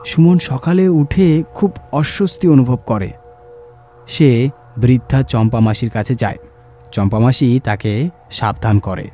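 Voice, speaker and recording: A man narrates a village horror tale with dramatic, scripted delivery. His voice is mid-pitched and mellow, with faint music playing underneath. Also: unhurried. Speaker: story reader and narrator.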